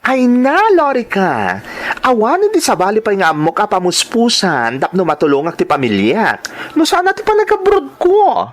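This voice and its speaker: An actress playing a persuasive young woman friend in a radio drama, emphatic with drawn-out exclamations. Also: mid-range voice, wildly swooping pitch. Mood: eager, persuasive. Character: lively, persuasive young woman friend dreaming of working abroad.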